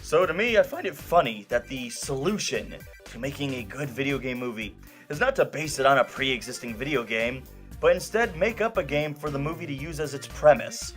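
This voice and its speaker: A man's voice: medium pitch, animated, fairly quick, soft background music. A man hosting and narrating a scripted film review in a wry, conversational, emphatic way. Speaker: film reviewer, show host.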